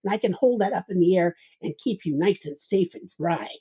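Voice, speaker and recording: A woman's voice: lowish voice, animated, brisk, husky. A woman voicing a sly wolf who sweet-talks in a falsely friendly way. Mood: coaxing. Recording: tinny phone-like sound.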